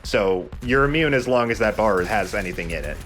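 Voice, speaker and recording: A man's voice: fairly low pitch, lively intonation, a little fast, a bit bright, a little hiss or hum. A man hosting a let's play with chatty, casually sweary gaming commentary.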